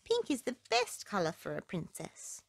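A woman's voice: medium pitch, wildly swooping pitch, normal speed, gravelly, full character voice. A woman voicing a stubborn but loving king and father in a storybook, in a decided, plain-spoken manner.

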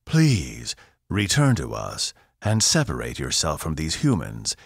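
A man's voice: a bass voice, huge pitch swings, unhurried, gravelly, breathy edge, no room sound, clean studio sound, exaggerated voice. A man voicing a wary, imperious female alien priestess who speaks sharply and formally. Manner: sharp, commanding, archaic.

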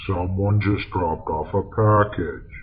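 A man playing a patient, formal male companion in an old-time radio parody, speaking in a calm, measured way. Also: low voice. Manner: calm, measured, precise, slightly exasperated. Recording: muffled lo-fi audio with faint noise.

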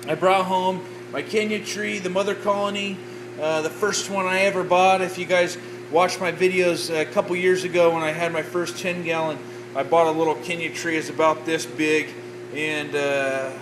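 Rambling man touring his reef tanks. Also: on the high side. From Oregon. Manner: rambling, lots of "uh" fillers. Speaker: reef aquarium hobbyist. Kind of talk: casual walkthrough vlog. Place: home reef tank setup, indoors. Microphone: handheld camera, off-screen. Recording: camera mic with noise.

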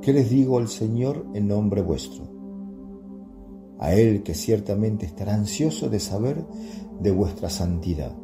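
A man's voice: on the low side, lively intonation, measured pace. An actor playing a grave, fatherly apostle who leads his group in a radio drama, speaking solemnly. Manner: solemn, paternal. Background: music.

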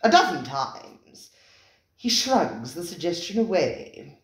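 A reader voicing an eager, solemn gentleman gourmet in a storybook, speaking formally and persuasively. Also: mid-range voice, sing-song, takes it slowly, echoey room. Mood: wistful. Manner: formal, persuasive, effusive speech. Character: eager, solemn male gourmet devoted to a restaurant.

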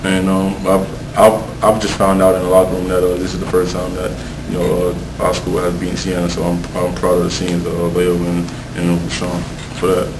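Flat-voiced young player, halting. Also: male voice, low-pitched. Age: young adult. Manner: halting, many "uh" and "um" fillers. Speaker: college basketball player. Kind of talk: postgame press conference answers. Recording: distant mic with room noise.

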